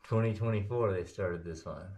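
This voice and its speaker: Low-voiced man exploring a cave. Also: normal speed, warm tone. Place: underground cave.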